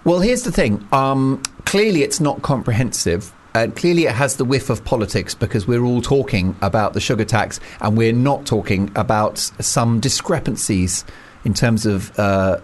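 A man hosting a relaxed talk show panel, chatting wryly and cutting in with playful asides. His voice sits at an ordinary middle pitch. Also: moderate pace, hardly any pauses. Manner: chatty, wry, interrupts playfully. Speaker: host. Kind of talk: casual panel chat.

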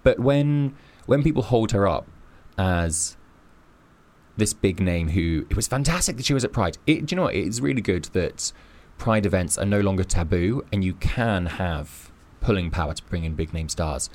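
Low-voiced man, emphatic. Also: expressive, moderate pace, a little mellow. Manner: emphatic, argues his point at length. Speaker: podcast co-host. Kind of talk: casual opinionated chat.

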